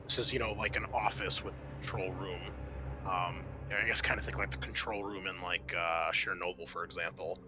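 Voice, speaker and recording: A man narrating a tabletop roleplaying game as game master, in a halting, descriptive manner. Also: sits low, fairly even intonation, background noise.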